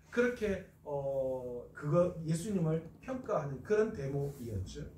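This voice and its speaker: A man's voice: high-pitched, reverberant, far from the mic, low-quality recording. A man from North Gyeongsang, South Korea, preaching an expository Bible lecture as a pastor, asking questions and answering them himself.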